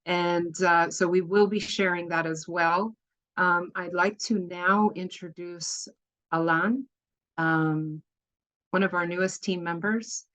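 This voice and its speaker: A woman hosting a conference call and introducing the next speaker, friendly, with pauses and fillers. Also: on the low side, fairly even intonation, a bit bright. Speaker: webinar host. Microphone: conference call microphone.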